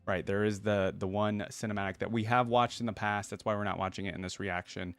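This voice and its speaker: A man's voice: on the low side, fairly even intonation, brisk, clean tone, no echo. A man hosting a reaction video, giving casual, chatty commentary and thinking aloud in an informal way.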